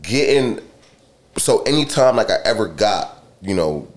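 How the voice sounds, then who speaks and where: Raspy, very deep-voiced young man. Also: big pitch swings, unhurried. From Charlotte, North Carolina. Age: 20s. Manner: laid-back, slangy, repeats "you know what I'm saying". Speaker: rapper guest. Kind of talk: casual interview chat.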